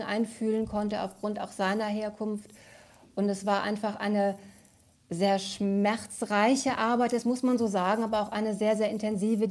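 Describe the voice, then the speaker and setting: Even-toned woman giving earnest thanks. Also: mid-range voice. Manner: earnest, repeats words for emphasis. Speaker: radio drama director. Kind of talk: thank-you speech.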